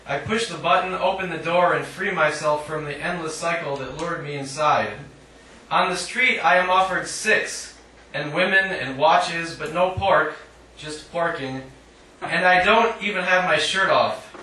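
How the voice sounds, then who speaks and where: A man giving a live poetry reading in a measured, declamatory way with dry humour, adding short spoken asides. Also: on the high side, sharp tone. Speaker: poet reading own work. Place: indoor literary cafe reading. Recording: echoey live room, distant mic, faint noise.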